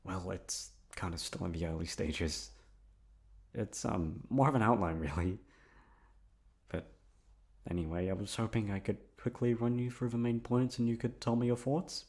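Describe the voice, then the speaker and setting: A man plays a young male best friend in an ASMR roleplay, hopelessly and obsessively in love. His voice sits fairly low. He talks intimately to the listener in a hesitant, nervous ramble, circling around what he really means. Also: normal speed, darkish tone, natural voice. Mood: awkward, eager.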